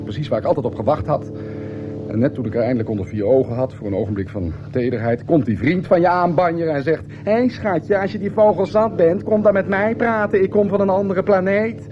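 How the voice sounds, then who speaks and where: A man's voice: fairly high pitch, brisk, noisy background, lightly acted. A man playing an aggrieved, easily flustered ordinary earthman in a radio drama, pointed and peevish. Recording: dull old radio play over music.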